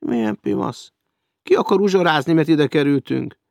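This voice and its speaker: Man voicing a loud, indignant idler. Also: a little high, no room sound, clean studio sound, voice pushed up, close to an everyday voice. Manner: loud and protesting. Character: indignant lazy man refusing work.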